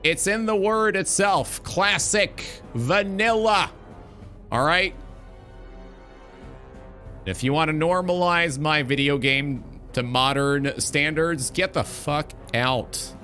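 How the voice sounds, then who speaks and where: Bright-voiced, blunt man hosting a talk show. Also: a little high, slow, faint music behind. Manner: emphatic, blunt, sweary. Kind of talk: opinionated gaming talk show monologue.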